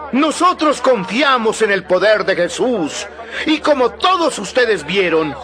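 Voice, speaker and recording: An actor playing a fervent apostle preaching boldly and emphatically to a crowd in a dramatized Bible recording. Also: male voice, very high-pitched, full character voice. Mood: accusing, fervent. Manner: emphatic, declamatory, questioning.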